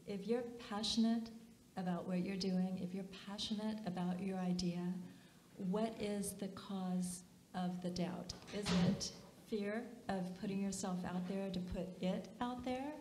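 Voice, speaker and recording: A woman's voice: medium pitch, fairly even intonation, measured pace, a little mellow, slight background noise. A woman entrepreneur on a stage panel, asking a string of probing questions. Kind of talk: panel discussion. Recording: echoey auditorium.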